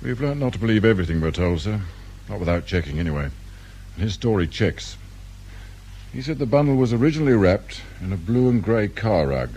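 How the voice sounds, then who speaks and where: A man acting a calm, probing police inspector with a British accent in a radio crime drama, speaking in a measured, politely firm way. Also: fairly low pitch, breathy edge. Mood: grave, measured.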